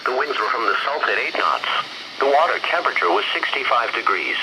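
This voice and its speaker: A synthesized male voice reading a scripted weather bulletin in flat, measured short phrases over weather radio. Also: mid-range voice, gravelly. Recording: very noisy weather radio broadcast.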